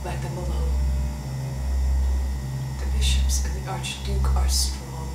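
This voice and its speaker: A woman acting an intense, grieving young noble widow in a Shakespearean stage monologue, pleading theatrically with deliberate pauses. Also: on the low side, flat delivery. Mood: bitter, subdued. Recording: roomy, distant, noisy recording.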